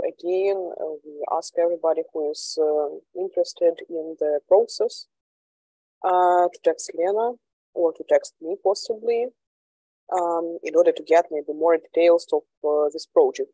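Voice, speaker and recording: A woman's voice: on the low side, mellow, no echo, low-quality recording. A young woman moderating an online university lecture over a video call, speaking politely and formally.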